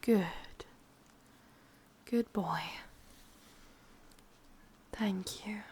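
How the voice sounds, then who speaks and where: An English-accented witch, acted out by a woman whispers right up close in an ASMR roleplay. Her voice is breathy and husky at a medium pitch. She speaks very slowly, with a wounded, possessive devotion and a sadistic streak. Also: darkish tone, full character voice. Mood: gently commanding. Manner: breathy whispering, slow intimate delivery. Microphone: close microphone.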